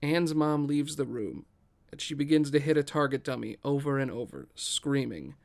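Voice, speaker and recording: A man's voice: medium pitch, lively intonation, moderate pace, gravelly, a little mellow. A man reading scripted stage directions as the narrator of a table read.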